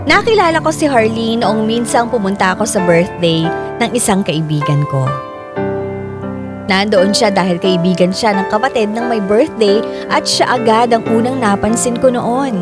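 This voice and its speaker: A woman's voice: a little high, lively intonation, normal speed. A woman delivering scripted first-person storytelling as the letter narrator of a radio drama. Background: music.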